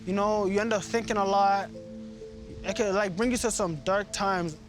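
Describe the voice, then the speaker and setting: A man's voice: high voice, fairly even intonation, moderate pace, faint music behind. A young man casually recalling his family's past in an interview. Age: young adult.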